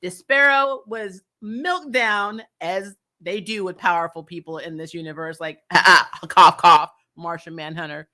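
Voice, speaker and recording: Female review-show host, bright forceful voice, casual live chat, exasperated and blunt, swears and laughs through rants. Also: on the low side, steady tone, a little slow, few pauses.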